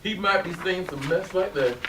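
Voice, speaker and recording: A man's voice: sits high, moderate pace, fairly bright tone. A man playing a crude, teasing neighbour in a stage reading. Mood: mocking. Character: crude, teasing male neighbour who laughs at others. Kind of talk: stage readers theatre acting. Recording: echoey, far mic, audience noise.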